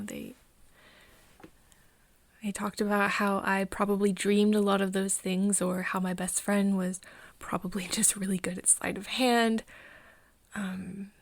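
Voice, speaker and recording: Actress as wistful confiding woman, ASMR roleplay, hushed and whispery, halting, even pitch, very dry close recording. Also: no room sound. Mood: hurt, frustrated. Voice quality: soft, breathy, often whispery. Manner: hesitant, halting, pausing often. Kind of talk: intimate ASMR roleplay, scripted confession.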